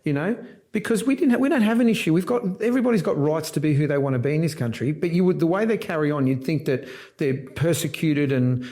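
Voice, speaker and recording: A man's voice: fairly high pitch, fast talker, mellow. A middle-aged man from Argentina, a politician talking casually and emphatically, often breaking off and restarting words. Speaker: politician guest.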